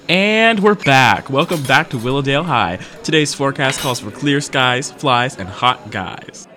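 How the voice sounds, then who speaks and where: A man playing a cheeky, excitable teenage boy with a theatrical, teasing manner in a fiction podcast. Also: mid-range voice, measured pace, a little hiss or hum. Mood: mock radio announcer. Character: excitable, cheeky teenage boy, loud loyal best friend. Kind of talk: audio fiction podcast acting.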